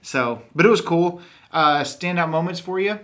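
A man from Texas hosting a casual chat, talking in a rambling, animated way that keeps breaking off and restarting. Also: on the high side, moderate pace. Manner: rambling, animated, self-interrupting. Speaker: podcast host.

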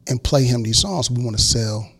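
A man's voice: mid-range voice, normal speed, slightly rough. A man in his forties, a guest record producer, telling his story in a casual interview and acting out the quotes of the people in it.

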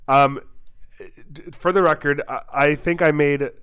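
A man's voice: fairly high pitch, steady tone, unhurried, fairly bright tone, clear, resonant tone. A young man from the United States hosting a casual podcast, stuffy-nosed from a cold and rambling through restarts. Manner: rambling, restarts sentences often. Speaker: podcast host.

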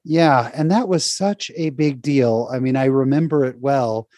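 A man's voice: mid-range voice, measured pace, darkish tone, dry recording. A man hosting a podcast interview, upbeat and friendly, with frequent fillers. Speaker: podcast host.

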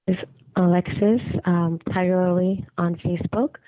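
A woman leaving a casual, rambling fan voicemail, hesitant with many ums, raspy from a cold. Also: fairly low pitch, steady tone, no room sound. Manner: hesitant, frequent ums and pauses. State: raspy from getting over a cold. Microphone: phone. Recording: muffled phone voicemail, narrow band.

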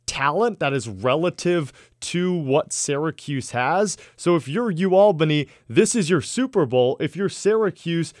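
A man's voice: a little high, expressive, a little mellow, no room sound, studio quality. Analytical male sports radio co-host. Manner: measured, analytical, some filler words.

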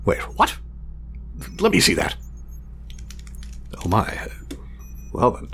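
An actor playing a meticulous male scientist in an audio drama, speaking formally and wordily, halting when flustered. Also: low voice, huge pitch swings, gravelly, a bit bright, a little hiss or hum, exaggerated voice. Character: meticulous, fussy male scientist testing his drone creation.

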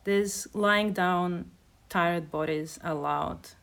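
Slow, gravelly woman poet from Kazakhstan. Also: on the low side, fairly bright tone. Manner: hesitant, measured. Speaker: poet and translator. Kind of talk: casual conversation and poetry reading.

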